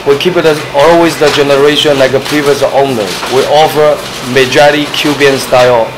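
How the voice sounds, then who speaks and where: A man in his thirties, originally from China, answering interview questions as a bakery owner in halting, simple English full of "you know". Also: mid-range voice, fairly even intonation. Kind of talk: casual interview. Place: small bakery cafe, indoors. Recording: noisy room with air conditioning hum.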